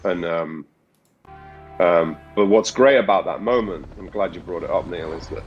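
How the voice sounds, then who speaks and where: A man with a very deep, low voice speaks as an interview guest. He talks thoughtfully, restarting his sentences and stopping to search for words. The sound is muffled and lo-fi. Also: normal speed, soft background music. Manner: thoughtful, many restarts and fillers. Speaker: voice actor guest. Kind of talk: reflective interview talk. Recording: muffled lo-fi audio.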